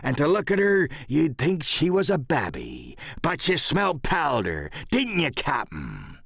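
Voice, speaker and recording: A man's voice: on the high side, huge pitch swings, unhurried, vocal fry, fairly bright tone, husky, dry recording, studio quality, full character voice. A man playing a sly, folksy old pirate sea cook who drawls in rustic sailor dialect for an audiobook radio play. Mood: fond storytelling.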